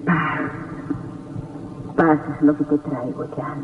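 A solemn Grim Reaper in a radio horror play. The voice is fairly high and very slow, pronouncing cryptic judgments. It rings out in a long, cavernous echo. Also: male voice, fairly even intonation, recorded from a distance. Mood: grave. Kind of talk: radio horror drama acting. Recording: echoing hall, muffled, faintly noisy old radio.

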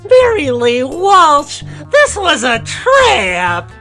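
A woman's voice: high-pitched, wildly swooping pitch, very slow, bright, forceful tone, soft background music, pitched above the performer's own voice, heavily acted. A woman voicing a naive, cocky young man in an amateur comic dub, with a melodramatic manner. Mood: defiant. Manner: melodramatic, self-satisfied.

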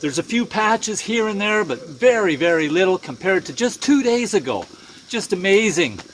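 A man's voice: high voice, expressive, normal speed, a little hiss or hum, poor audio. An older man hosting a casual outdoor vlog, easygoing and chatty, saying "eh" now and then. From Canada. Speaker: channel host. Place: outdoors at a night campfire in the woods.

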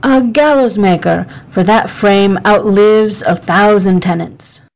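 Rustic clown sidekick voiced by a woman, Shakespeare audio drama, simple and inquisitive, mid-range pitch. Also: slow, vocal fry, poor audio. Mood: puzzled, game.

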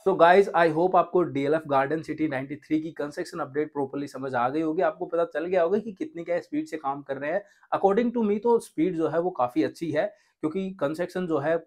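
A man's voice: a little high, a little fast. A young man in his twenties hosting a real estate channel, talking in a casual, chatty way and dropping English phrases into his speech, recorded on a lapel mic in a studio. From India. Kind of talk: casual Hinglish property update. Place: indoor studio set with bookshelves.

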